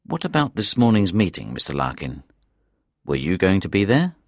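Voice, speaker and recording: An actor playing a calm, polite police inspector who asks measured questions in an audiobook. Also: male voice, low-pitched, no room sound, clean studio sound, full character voice. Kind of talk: audiobook character reading.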